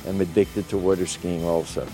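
A man's voice: sits low, flat delivery, normal speed, mellow, faint music behind, low-quality recording. An older man, past sixty, talking casually in an interview about himself as a water skier. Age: older, sixties to seventies.

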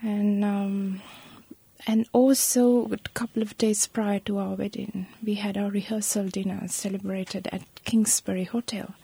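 A Sri woman in her thirties, a guest telling a personal account in an interview, speaking softly and haltingly, with many pauses. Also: medium pitch, little pitch movement, no room sound. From Sri Lanka. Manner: soft, halting, breathy delivery with many pauses.

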